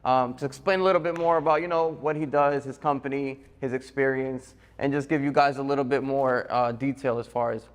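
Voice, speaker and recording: A man's voice: medium pitch, steady tone, fairly quick, few pauses. A man hosting a course interview, introducing his guest and putting questions in a conversational way. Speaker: host, course presenter. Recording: echoey hall-like reverb.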